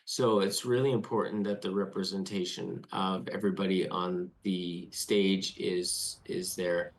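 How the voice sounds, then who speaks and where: A man's voice: deep voice, flat delivery, unhurried. A man from Canada, a playwright, talking reflectively as an interview guest.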